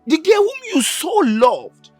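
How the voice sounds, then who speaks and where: A man's voice: very high-pitched, wildly swooping pitch, takes it slowly, faint music behind, exaggerated voice. An actor playing an anxious young man who protests his innocence to his friends. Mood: pleading.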